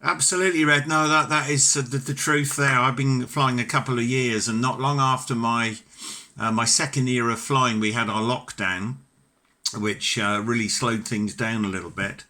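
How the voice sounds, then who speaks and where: A middle-aged British man with a very bright, forward voice at an ordinary male pitch. He talks in a chatty, courteous way, as a ham radio operator holding a casual contact. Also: fairly even intonation, normal speed.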